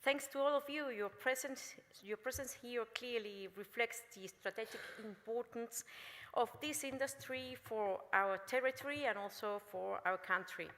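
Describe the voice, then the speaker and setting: A woman introduces speakers at a conference in a formal tone, stumbling slightly each time she switches languages. Her voice is bright, and the large hall adds a long reverb. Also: on the high side, normal speed. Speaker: event presenter. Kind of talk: bilingual event hosting.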